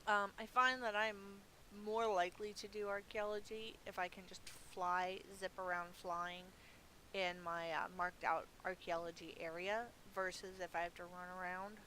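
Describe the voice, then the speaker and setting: A woman's voice: on the low side, little pitch movement, normal speed, sharp tone, poor audio. A woman giving casual live gameplay commentary as a streamer, chatty and thinking aloud. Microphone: budget gaming mic. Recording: faint hiss from a cheap microphone.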